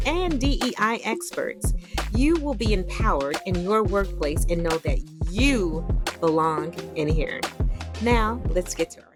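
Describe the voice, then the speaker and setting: A middle-aged woman, a nurse practitioner, hosting a podcast with a formal, enthusiastic scripted intro and then interviewing a guest. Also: mid-range voice. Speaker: podcast host.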